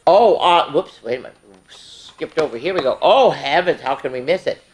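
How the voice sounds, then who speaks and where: An older woman hosting a story time, reading picture books aloud to children with playful, animated asides. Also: low-pitched, moderate pace. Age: older, grandmother. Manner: playful, animated, chatting in asides to young listeners.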